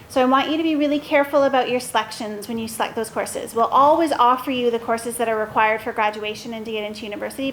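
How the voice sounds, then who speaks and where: Higher-pitched woman addressing a school assembly. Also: slight background noise, low-quality recording. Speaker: school staff member leading the gathering. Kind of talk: explaining course offerings to a school assembly.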